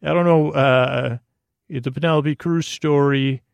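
Creaky-voiced man rambling gently through a monologue. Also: mid-range voice, fairly even intonation, no room sound. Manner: meandering, halting, with stammered false starts and repeated words; whimsical, self-deprecating silliness. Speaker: host of a bedtime story podcast. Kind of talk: rambling sleep-podcast monologue.

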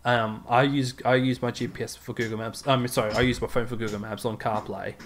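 A man's voice: mid-range voice, level tone, brisk, a little hiss or hum. A man chatting casually as a podcast co-host, with an easygoing, agreeable way of talking. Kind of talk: casual chat.

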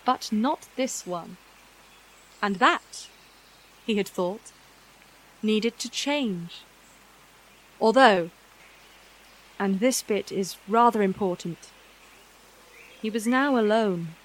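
A woman's voice: medium pitch, takes it slowly, long pauses, low-quality recording. A woman reading a scripted fairy-tale narration in a storytelling style.

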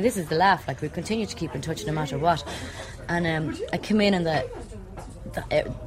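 Irish woman, thirties, chatty, laughs a lot, low voice, people talking behind. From Ireland, Dublin. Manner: chatty, animated storytelling, laughs often. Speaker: wife moving house. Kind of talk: casual chat.

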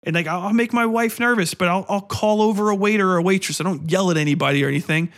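Exasperated, slangy man with a higher-pitched voice. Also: quick pace, fairly bright tone. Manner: profane, blunt, exasperated. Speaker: podcast host. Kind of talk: casual opinionated rant.

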